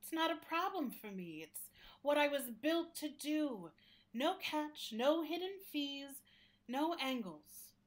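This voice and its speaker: Radio play casting: female actor for a sentient public library, high-pitched, earnest, caring, reflective, gently opinionated. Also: animated, slow pace. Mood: sincere, helpful. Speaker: actor playing the interview guest. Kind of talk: radio play acting, conversational interview.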